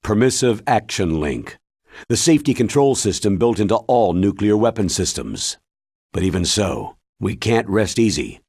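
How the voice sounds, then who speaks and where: A man voicing a grave, authoritative military commander in a video game, explaining in a measured, solemn way with a husky voice. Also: fairly low pitch, very animated, a little mellow, dry recording, clean studio sound, exaggerated voice.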